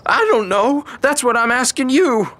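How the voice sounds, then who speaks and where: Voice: medium pitch, moderate pace, a bit bright, natural voice. A performer playing the worried father of a grown sorceress in a fantasy audio drama. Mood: flustered.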